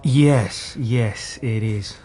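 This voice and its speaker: An actor playing a hesitant, quietly doubting, understated male police detective in a radio drama. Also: medium pitch, very slow, noisy background. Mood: doubtful, guarded.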